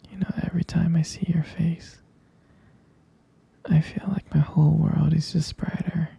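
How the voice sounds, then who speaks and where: A man performing a romantic ASMR roleplay in a breathy, husky whisper, speaking tenderly and adoringly with halting pauses. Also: fairly high pitch, little pitch movement, dark tone.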